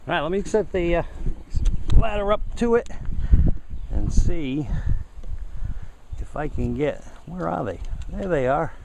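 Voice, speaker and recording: A man's voice: fairly high pitch, expressive, a little slow, creaky, pauses often, noisy background. A man giving a casual hands-on demonstration as a hobbyist host, unscripted and thinking aloud as he goes. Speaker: hobbyist video host testing radio antennas.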